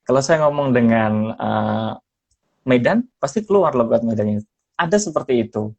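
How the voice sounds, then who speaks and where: A young man from Semarang talks easily on a podcast, his Indonesian lightly mixed with regional accents. He pauses often, filling gaps and restarting phrases. His pitch sits in the middle of the male range. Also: lively intonation, creaky, darkish tone. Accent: neutral Indonesian with slight mixed regional traces. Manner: hesitant, many fillers and restarts. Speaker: podcast guest, former radio announcer. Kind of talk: casual interview chat.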